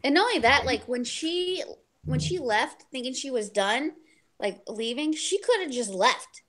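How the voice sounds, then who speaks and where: A woman's voice: sits high, lively intonation, normal speed, crowd noise. A woman in a casual group book discussion on a video call, joking bluntly and swearing freely as she steers the conversation. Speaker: book club discussion host.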